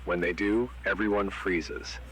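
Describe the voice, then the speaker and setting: A man voicing a grim emergency broadcast announcer who gives flat, ominous, commanding warnings. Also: low voice, a little slow, poor audio. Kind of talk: analog horror warning broadcast.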